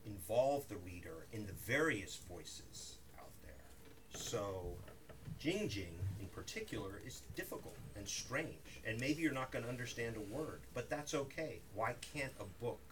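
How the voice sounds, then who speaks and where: Low-voiced man talking from far off. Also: fairly bright tone. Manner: thoughtful, rambling, many restarts and you-knows. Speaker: author speaking at a bookstore event. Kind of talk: live talk with audience Q&A. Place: bookstore reading room. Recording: distant mic in a noisy room.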